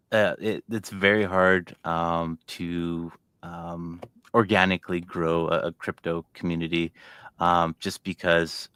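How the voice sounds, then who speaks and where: A man hosting a casual interview, speaking in a hesitant, halting way. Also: low voice, sharp tone, smooth, dry recording. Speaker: show host.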